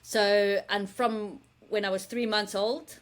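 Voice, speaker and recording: A woman's voice: medium pitch, steady tone, slow pace. A South African woman, a marine scientist guest, telling her story in a candid, self-deprecating, chatty way. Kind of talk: casual interview storytelling.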